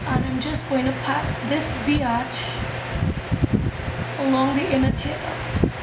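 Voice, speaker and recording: A hoarse woman from Trinidad giving a calm step-by-step makeup tutorial. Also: high voice, slow. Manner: calm step-by-step instructions, soft fillers. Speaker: beauty vlogger. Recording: echoey room with loud background noise.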